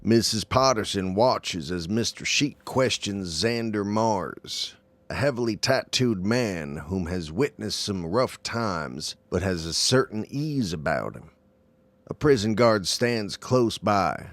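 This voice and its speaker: Guy narrating a radio play, slow and steady, really dry studio sound. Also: fairly low pitch, dry recording. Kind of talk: scripted narration of an audio drama.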